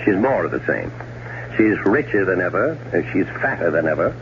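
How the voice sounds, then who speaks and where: A man's voice: deep voice, big pitch swings, brisk. An actor playing a scheming, sardonic middle-aged American man in a radio drama, on a muffled, noisy old broadcast. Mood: jovial, sardonic. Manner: sardonic, theatrical. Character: scheming, wry, well-off man plotting murder. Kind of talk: 1970s radio drama acting, first-person narration and dialogue.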